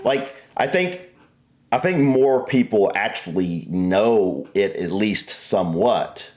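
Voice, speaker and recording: A man's voice: on the low side, animated, slow, darkish tone. An American man co-hosting a reaction video, easygoing and thinking aloud as he chats. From United States. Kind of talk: casual reaction chat.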